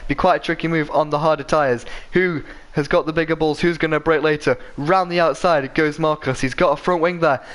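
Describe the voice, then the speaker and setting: A man giving live commentary on an online racing league, his voice croaky from a sore throat, rambling a bit and correcting himself with plenty of ums and uhs. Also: a little high, steady tone, fairly quick, few pauses, fairly bright tone. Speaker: race commentator.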